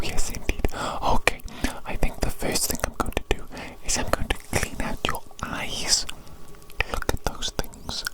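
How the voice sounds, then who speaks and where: A woman whispers slowly in an ASMR roleplay, playing a doting evil doctor. The delivery is soothing and unhurried, with short phrases softly repeated as if tending a beloved monstrous creation. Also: poor audio. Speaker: ASMR performer.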